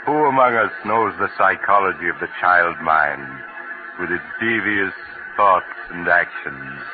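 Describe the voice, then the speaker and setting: An actor playing a genial but menacing mystery narrator in theatrical, ominous 1940s radio style. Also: male voice, low-pitched, measured pace. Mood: sinister, inviting. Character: eerie, genial male mystery-story narrator, menacing storyteller. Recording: muffled vintage radio recording with music.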